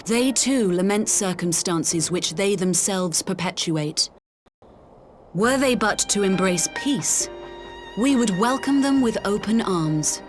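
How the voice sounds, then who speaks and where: A woman's voice: medium pitch, soft background music, natural voice. An actress playing a dignified, lofty woman leader in a video game, speaking formal, admonishing lines. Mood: reproachful. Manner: slow, stately, admonishing.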